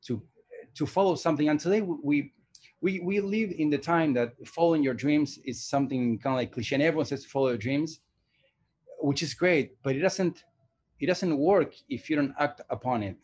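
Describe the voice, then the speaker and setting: A man's voice: on the high side, normal speed, a little mellow, breathy edge. A man in his forties from Argentina, an animation director and teacher, talking thoughtfully with many hesitations and restarts in a casual interview. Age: 40s.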